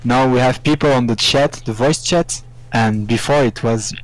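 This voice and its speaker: A man from Belgium with a Belgian accent, not a native speaker, chatting casually with halting phrasing. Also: medium pitch, low-quality recording. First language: not English. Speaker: live chat participant. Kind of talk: casual chat.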